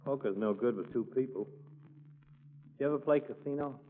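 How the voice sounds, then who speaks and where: An actor playing a grumbling, plain-spoken small-town fellow with a folksy, slangy manner in a 1940s radio comedy. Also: male voice, mid-range voice, normal speed, natural voice. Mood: glum. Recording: muffled, noisy 1940s radio recording.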